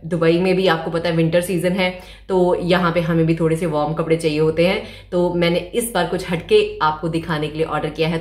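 A woman's voice: lowish voice, a little hiss or hum. A woman in her thirties with a slightly sore throat, chatting casually to the camera in a try-on haul video and dropping English phrases into her talk. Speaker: fashion vlogger. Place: bedroom at home, indoors. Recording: echoey room.

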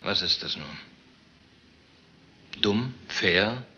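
An actor playing a suspicious, sardonic police detective in a radio crime drama, asking pointed, persistent questions. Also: male voice, on the low side, extremely slow, sharp tone, muffled recording, exaggerated voice. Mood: insistent, sardonic. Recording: faint background noise.

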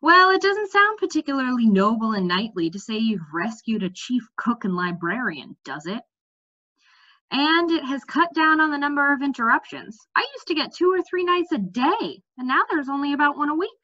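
Woman reading as a brisk, animated young princess. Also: sits high, pitched above the performer's own voice. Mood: matter-of-fact. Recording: slightly dull webcam audio.

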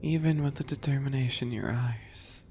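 A young man playing a sadistic, obsessive bunny boy in a whispery ASMR roleplay, soft and breathy, taunting with false sweetness. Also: mid-range voice, normal speed, slight background noise, natural voice. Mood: bored, disdainful.

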